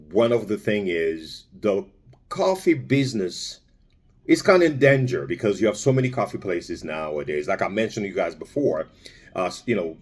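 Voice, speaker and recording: Man, from Congo, low voice, casual vlog talk, rambling, stop-start phrasing. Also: breathy edge. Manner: rambling, many restarts and fillers. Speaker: vlogger. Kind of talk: casual spoken commentary.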